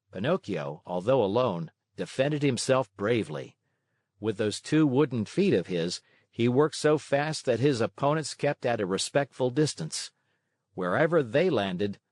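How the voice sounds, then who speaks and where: Guy reading a kids story, mid pitch, really lively and dramatic, dry close sound. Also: no room sound. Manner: expressive, dramatic. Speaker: storybook narrator. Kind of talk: scripted children's story narration.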